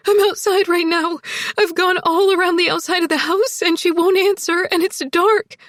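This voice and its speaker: A woman's voice: very high voice, steady tone, normal speed, a bit bright, dry recording, clean studio sound, pitched above the performer's own voice. A woman voicing a desperate, frightened woman in an audiobook, shaky and pleading, with breathless, apologetic delivery. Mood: panicked, tearful. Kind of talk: audiobook character dialogue.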